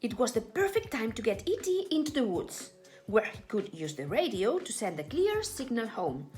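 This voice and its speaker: A woman reading a children's story aloud with deliberate, dramatic, expressive phrasing. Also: on the high side, faint music behind. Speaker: storybook reader.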